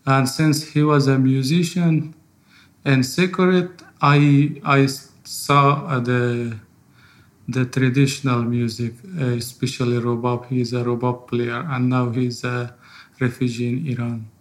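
A man in his thirties from Afghanistan with an Afghan accent and Farsi as his first language, telling his own story in halting English. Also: medium pitch, little pitch movement. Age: 30s. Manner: halting, non-fluent English. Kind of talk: personal spoken testimony.

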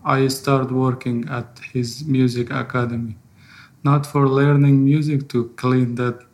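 A man in his thirties from Afghanistan with an Afghan accent and Farsi as his first language, telling his own story in halting English. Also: mid-range voice, darkish tone. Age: 30s. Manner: halting, non-fluent English. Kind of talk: personal spoken testimony.

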